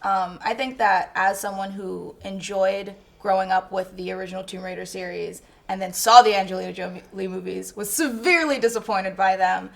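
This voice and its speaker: Creaky-voiced woman giving casual film opinions. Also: mid-range voice, steady tone, normal speed, hardly any pauses. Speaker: film review panelist. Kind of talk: casual film review chat.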